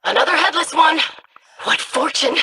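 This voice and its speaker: A performer voicing an earnest, studious scribe in a video game, speaking in a measured, scholarly manner. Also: high-pitched, expressive, very noisy. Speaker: scribe investigating monsters.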